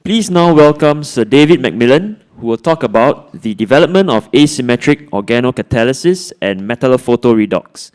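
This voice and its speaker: A man making formal, loud announcements that introduce speakers at an event. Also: mid-range voice, lively intonation, fairly quick, few pauses, clear, resonant tone.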